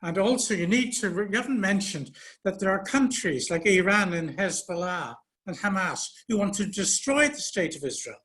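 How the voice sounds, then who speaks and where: An audience member asks a pointed question over a video call. The delivery is insistent and pressing. The voice sits at a middle pitch. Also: animated, normal speed, few pauses, fairly bright tone. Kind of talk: online question.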